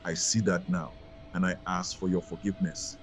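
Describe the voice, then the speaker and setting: A man voicing a humbled, once-proud woman in a folktale, subdued, halting and contrite. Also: low voice, little pitch movement, faint music behind, pitched below the performer's own voice, natural voice.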